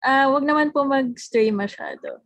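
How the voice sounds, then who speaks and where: A woman's voice: high-pitched, moderate pace, a crowd in the background. A Filipino woman with a Filipino accent, politely and hesitantly directing a rehearsal over a video call. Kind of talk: explaining and reading stage directions. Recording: video call with faint noise, clicks.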